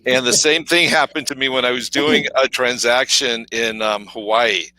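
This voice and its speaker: A man co-hosting a podcast with a deep, authoritative voice, talking casually and acting out stories. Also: steady tone, moderate pace, bright, forceful tone, no echo, low-quality recording. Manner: storytelling, acts out quoted voices, many fillers. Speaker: podcast co-host. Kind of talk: casual conversational coaching talk.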